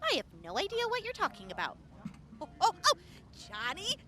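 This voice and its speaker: Very high, swooping, peppy inventor. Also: female voice, fairly quick, exaggerated voice. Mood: playful. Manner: bubbly, eager, expressive. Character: peppy, excitable, teasing female gadget inventor. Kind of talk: audio drama acting.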